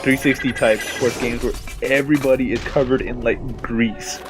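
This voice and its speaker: A man chatting casually and jokingly as a gaming co-commentator, in halting phrases. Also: mid-range voice, fairly even intonation. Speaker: Let's Play co-commentator. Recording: music playing behind the voice. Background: video game music.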